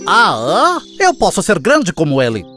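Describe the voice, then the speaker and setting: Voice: medium pitch, wildly swooping pitch, normal speed, a bit bright. An actor voicing an arrogant, boastful frog who wants to be king, in a pompous, commanding manner for a children's cartoon fable. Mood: puffed up. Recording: music behind the voice. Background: music.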